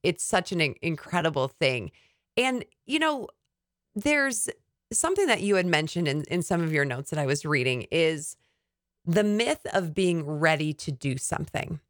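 Woman, podcast host, lowish voice, dry close sound, enthusiastic. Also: big pitch swings, moderate pace, no room sound. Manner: enthusiastic, leading questions. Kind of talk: podcast interview.

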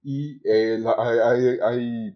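A young Mexican man talking conversationally as a podcast guest about his experiences, with halting phrasing and repeated words. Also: medium pitch, fairly even intonation. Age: young adult. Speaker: podcast guest, call center agent. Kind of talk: conversational interview, recounting work anecdotes. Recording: dull, lo-fi sound.

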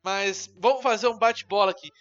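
Young man, high and bright, crude teasing jokester. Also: steady tone, brisk, dull audio, soft background music. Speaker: podcast co-host. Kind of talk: casual joking chat.

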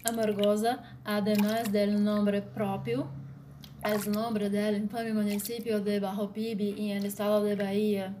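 A woman with a Brazilian Portuguese accent reads her piece aloud in short, even phrases, keeping her voice flat. She moves slowly and deliberately from one phrase to the next. A steady hiss of noise sits under the recording. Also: medium pitch. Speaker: blogger. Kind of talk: read-aloud commentary.

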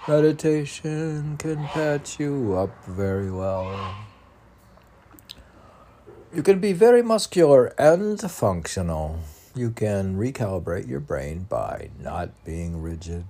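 Slow-paced, low-voiced man reciting poetry. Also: dark tone. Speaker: poet reading own work. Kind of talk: recited spiritual poetry reading.

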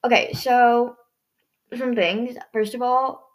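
A teenage girl hosting her own podcast in a rambling casual chat, her voice hoarse and scratchy from overuse. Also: fairly high pitch, fairly even intonation, slow. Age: teens. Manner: rambling, many fillers and restarts. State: hoarse, strained voice. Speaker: podcast host.

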